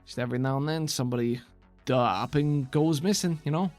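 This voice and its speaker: A man voicing a gruff, stocky older insectoid woman who runs a dump and talks in a casual, evasive, clipped way over music. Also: fairly high pitch, brisk, a little mellow, lightly acted. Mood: evasive, nonchalant. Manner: casual, clipped, shrugging, chewing on a toothpick.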